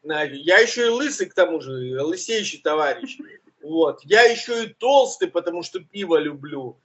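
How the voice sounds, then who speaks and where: A man with a high voice and a rolled, French-sounding R chats through the week's news as co-host. He is loose and self-mocking and says "uh" often. The sound is dull and lo-fi, like an online stream. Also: expressive, normal speed, fairly bright tone. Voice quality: uvular R, burred. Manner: chatty, self-mocking, with fillers. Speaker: co-host, journalist. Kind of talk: casual news commentary chat.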